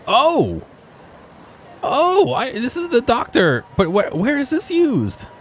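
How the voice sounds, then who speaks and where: A man's voice: very high-pitched, wildly swooping pitch, slow pace, slight background noise. A man giving casual gameplay commentary as a streamer, musing aloud and often questioning himself. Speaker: video game streamer.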